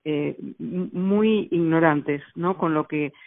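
A woman's voice: low-pitched, slow pace. A middle-aged woman in a casual radio conversation, reflective and opinionated, often saying "o sea". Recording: dry phone-line sound.

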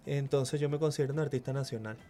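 A man in his forties talks as a guest on a radio interview, from Chile, with a dark, mellow tone. He pauses and repeats words as he thinks aloud. Faint music plays in the background. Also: medium pitch. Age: 40s. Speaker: guest muralist.

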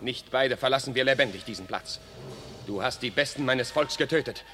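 An actor playing a proud defeated enemy commander in a radio play, declaiming verse with passion. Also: male voice, mid-range voice, brisk, pushed and bright, soft background music, lightly acted. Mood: defiant. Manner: impassioned, declamatory verse, swinging from defiance to tenderness.

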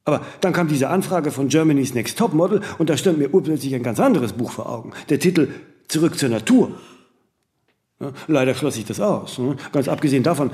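A celebrity raconteur in a radio play, with a lightly raised, very lively voice that swings widely. He is vain and witty, coquettishly running himself down while handing out opinions and dodging questions. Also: on the high side. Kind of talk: conversational German radio play acting.

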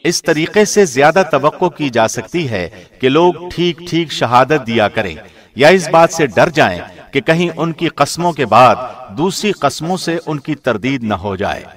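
A man reading a scripture translation in a formal, measured, solemn radio presenter's delivery. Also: fairly high pitch, lively intonation.

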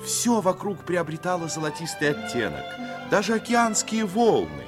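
A man narrating a 1950s radio drama as a storyteller, phrasing expressively and deliberately with dramatic pauses. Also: high voice, big pitch swings. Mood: lyrical. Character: male storyteller fond of the coast and its people. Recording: 1950s radio recording, faint music behind.

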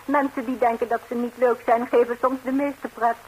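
An actress playing a composed, sharp-tongued betrayed wife in a 1960s radio comedy, answering with cool, pointed deliberation on a hissy recording. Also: female voice, a little high, little pitch movement, normal speed, natural voice, rough recording. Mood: dry, vengeful.

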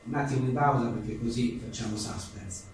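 A man's voice: mid-range voice, brisk, darkish tone. A man reading humorous one-liners aloud with casual asides, in a wry, joking manner. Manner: wry, joking, often chuckling. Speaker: writer and journalist presenting his own book. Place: live book presentation in a reverberant room. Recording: echoey room, distant mic, faint noise.

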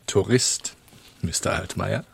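An actor playing a shrewd, courteous underworld fixer in a radio drama, with a businesslike manner. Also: male voice, low voice, slow pace, creaky, a little hiss or hum, exaggerated voice. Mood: casual, cautious. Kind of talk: German radio drama dialogue.

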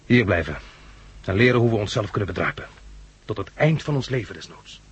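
An actor with a Dutch accent playing a practical, weary, self-deprecating homesteader in a 1960s radio drama. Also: male voice, on the low side, animated, brisk, breathy edge. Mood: grave, resigned. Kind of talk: 1960s radio drama acting with diary narration. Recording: dull 1960s radio recording.

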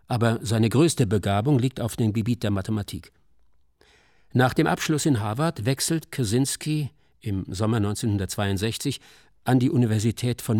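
A man reading scripted documentary narration in a sober, measured, articulate manner. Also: on the low side, darkish tone, dry recording, studio quality.

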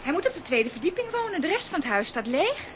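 A woman's voice: high voice, very animated, quick pace, background noise. A woman voicing a spirited, squeamish young girl adventurer in a children's audio drama. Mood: curious, hushed.

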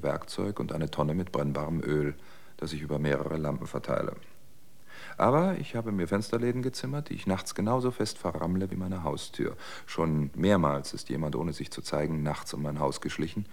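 A deep-voiced male survivor in a radio drama. He is sober and wary, with a creaky, gravelly edge, and speaks in a measured, brooding manner as if keeping a lonely log. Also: a little mellow. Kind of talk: German radio drama monologue and dialogue.

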